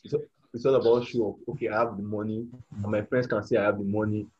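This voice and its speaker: Podcast co-host: man, lowish mellow voice, casual questioning, muffled recording. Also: flat delivery, fast, husky. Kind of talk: casual conversational interview. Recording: dull lo-fi audio.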